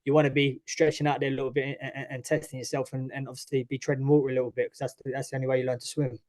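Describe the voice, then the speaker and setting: A young man from East London speaks in a chatty, loose way, with a local accent and plenty of false starts. His voice sits at an ordinary middle pitch for a man. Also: flat delivery, very fast, darkish tone. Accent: East London English. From East London, England. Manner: chatty, frequent restarts and "obviously". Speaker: guest, mixed martial arts fighter. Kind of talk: casual interview talk.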